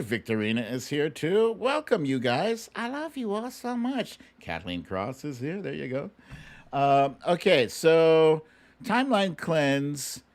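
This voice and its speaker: A man hosting an online live show, chatting casually and playfully, straight to his viewers. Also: mid-range voice. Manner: playful, informal, addresses viewers directly.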